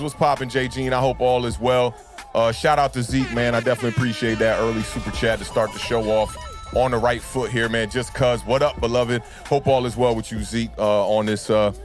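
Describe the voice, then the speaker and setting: A young adult man with a deep baritone voice, under the weather, hosting a casual sports livestream and chatting with fans. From United States. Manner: laid-back, repeats "man" and "y'all know what it is". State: under the weather, deeper voice than usual. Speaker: sports talk livestream host.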